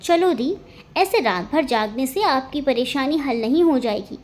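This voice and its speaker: A woman voicing a lively, caring younger sister with a playful, enthusiastic manner, in an audio story. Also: sits high, measured pace. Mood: caring, teasing.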